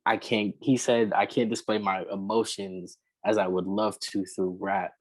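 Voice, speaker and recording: A man, a rapper and singer, talking casually in an interview, relaxed and rambling with many fillers. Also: on the low side, unhurried.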